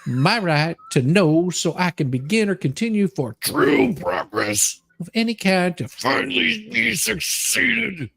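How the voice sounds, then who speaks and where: A woman's voice: lowish voice, sing-song, unhurried, gravelly, fairly bright tone, slightly rough. A woman co-hosting a comedy podcast, talking casually and bluntly, joking as she comments and reads aloud. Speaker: podcast co-host.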